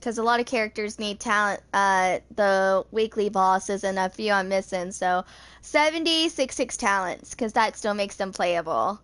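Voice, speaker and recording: A woman's voice: medium pitch, animated, normal speed, a bit bright, no room sound. Casual young woman gamer, dry close sound. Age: 20s. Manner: informal, thinking aloud. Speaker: gaming video creator. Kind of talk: casual rambling game commentary.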